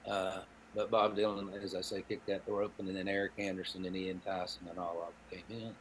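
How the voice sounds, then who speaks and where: Low-voiced older Appalachian storyteller. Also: male voice, little pitch movement, fairly quick, slight background noise, poor audio. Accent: Southern Appalachian American. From Appalachian Tennessee, United States. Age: 70s. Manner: folksy, wry, dry humor. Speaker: podcast guest, storyteller and songwriter. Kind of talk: anecdotal conversational storytelling.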